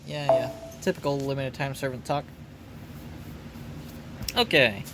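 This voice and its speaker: A man's voice: medium pitch, measured pace, very noisy. A man giving casual game commentary as a streamer, reading dialogue aloud. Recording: loud game music behind voice. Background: game music.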